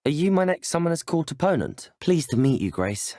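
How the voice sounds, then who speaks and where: Fantasy game hero: teenage British wizard student, courteous, self-assured, inquisitive, expressive intonation, close dry recording. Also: male voice, fairly high pitch, fairly quick, no room sound. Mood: upbeat, confident. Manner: courteous, well-spoken, formal phrasing. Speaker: player character in a fantasy video game. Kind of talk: scripted video game voice acting.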